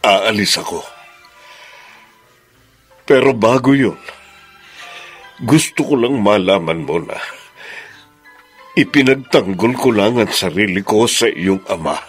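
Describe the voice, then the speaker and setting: An actor playing a remorseful middle-aged father in a radio melodrama, pleading and halting as he defends himself. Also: lowish voice, lively intonation, breathy edge, faint music behind, heavily acted. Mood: sorrowful. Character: remorseful older father defending his past killing. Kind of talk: melodramatic radio drama acting.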